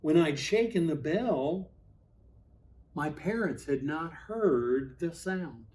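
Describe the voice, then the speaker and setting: Slow-paced man reading a storybook aloud. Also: a little high. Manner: expressive, dramatic pauses. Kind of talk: storybook read-aloud for children.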